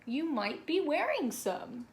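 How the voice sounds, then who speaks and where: A woman's voice: sits high, sing-song, slow pace, vocal fry, heavily acted. A woman voicing a patient male schoolteacher in a children's storybook, explaining things and prompting with questions. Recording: roomy echo with background noise.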